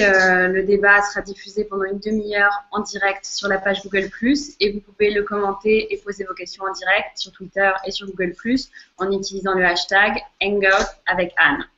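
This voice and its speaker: A woman moderating a live video chat, introducing it briskly. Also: medium pitch, a bit bright. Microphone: video call. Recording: dull lo-fi video call audio.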